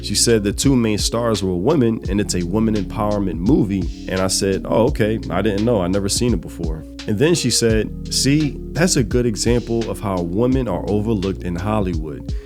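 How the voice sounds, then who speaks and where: A man with a cold, his voice raspy and dry, telling a story in a casual commentary to his channel's viewers. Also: lowish voice. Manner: conversational, recounting a dialogue. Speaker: channel host. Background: music.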